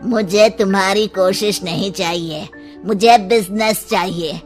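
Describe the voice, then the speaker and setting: A stern, demanding cartoon office boss , acted by a woman. The delivery is curt and commanding at a middling pitch, over faint music. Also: unhurried, lightly acted.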